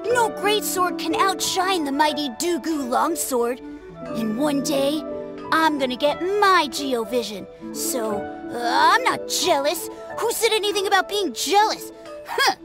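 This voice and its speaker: A boastful little kid in a game, super high voice, dramatic, music playing behind. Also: lively intonation, takes it slowly, full character voice. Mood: defensive, boastful. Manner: dramatic, grandiose declarations. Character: excitable, boastful young boy dreaming of swordsmanship. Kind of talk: video game character dialogue.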